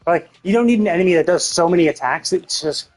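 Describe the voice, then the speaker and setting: Rambling male game streamer, slightly husky. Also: high voice, fast, faint music behind. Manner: rambling, thinking aloud. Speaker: video game livestreamer. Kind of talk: casual chat while streaming games.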